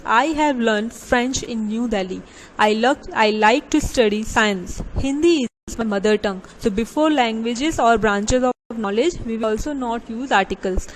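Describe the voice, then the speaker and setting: A fairly high-voiced woman teaches English grammar to her students, reading each rule and example slowly before going over it again in plainer words. She often restarts and pauses with small fillers. A faint hiss of background noise sits under her voice. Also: rough recording. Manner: slow, deliberate reading of examples with frequent restarts. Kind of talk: grammar lesson, reading rules then explaining.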